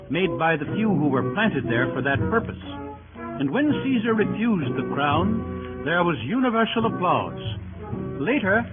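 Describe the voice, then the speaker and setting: Animated, higher-pitched male radio narrator. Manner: formal, dramatic phrasing. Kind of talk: scripted 1930s radio drama narration. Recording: tinny old radio broadcast, music behind.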